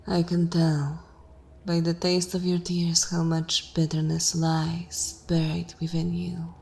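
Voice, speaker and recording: A woman's voice: fairly low pitch, completely flat delivery, warm tone. A woman voicing a seductive, imperious vampire mistress in an ASMR fantasy roleplay, commanding and coldly mocking, sometimes dropping into a whisper. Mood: mock-tender, ominous. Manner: slow, commanding, theatrical, breathy whispering at times.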